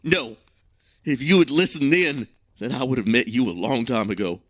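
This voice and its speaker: An actor playing an ashamed, grieving man who tells his tale with self-loathing and dramatic pauses. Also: medium pitch, dry recording, lightly acted. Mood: anguished bitterness. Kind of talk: dramatic fantasy monologue in audio drama.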